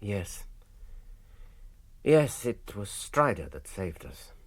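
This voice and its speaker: A British man playing a gentle, earnest, weary hobbit adventurer in a radio drama, speaking in a hesitant, halting and expressive way. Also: on the low side, creaky, breathy edge, heavily acted. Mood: fond, hesitant.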